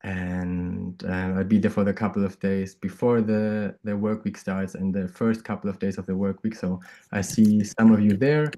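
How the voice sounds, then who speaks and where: A man from Greece who grew up in Germany, introducing himself on a video call in a halting way with many fillers. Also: low voice, fairly even intonation, warm tone. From Greece, raised in Germany. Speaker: volunteer coordinator. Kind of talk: casual self-introduction. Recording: dull lo-fi call audio.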